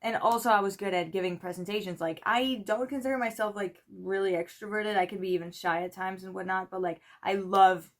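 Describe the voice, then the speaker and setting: A woman's voice: mid-range voice, brisk, few pauses. A woman in her twenties from Spain, an English speaker, telling a rambling story to camera with lots of "like" and "you know". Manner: chatty, rambling, full of "like" and "you know", lively quoted voices. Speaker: PhD student vlogger.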